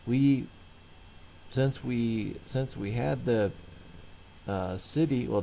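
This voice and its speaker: A middle-aged man speaks very slowly, in a somewhat low voice with a heavy creak. He rambles and halts as he casually narrates everyday chores, sounding plain-spoken, with faint ambient noise behind him. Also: mellow, rough recording. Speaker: vlogger.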